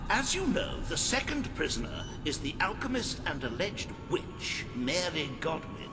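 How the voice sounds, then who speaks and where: An actor playing a pompous, fussy official in a video game, flowery and hesitant in a theatrical way. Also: male voice, fairly high pitch, very animated, unhurried. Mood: relishing, disdainful. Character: pompous, fussy, squeamish male official briefing his superior. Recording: long hall reverb, music behind. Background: game soundtrack music.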